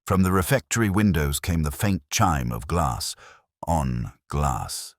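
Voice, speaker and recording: A man playing a weary, reflective older police detective, narrating a detective drama in a measured, literary, melancholic way. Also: a bass voice, expressive, dry recording, studio quality, exaggerated voice. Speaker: first-person narrator.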